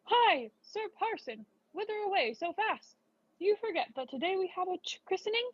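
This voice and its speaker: A woman's voice: extremely high pitch, very animated, moderate pace, dry recording, voice pushed up. A woman voicing scolding, astonished churchmen calling out in old-fashioned phrases in a fairy tale read-aloud. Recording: dull, lo-fi, dry recording.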